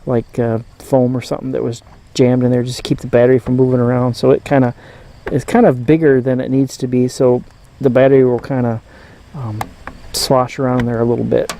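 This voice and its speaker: Male hobbyist host, soft mellow voice, informal show-and-tell, halting delivery that thinks aloud. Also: mid-range voice, flat delivery, gravelly. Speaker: host of a radio collecting channel. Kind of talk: casual hands-on gadget demonstration.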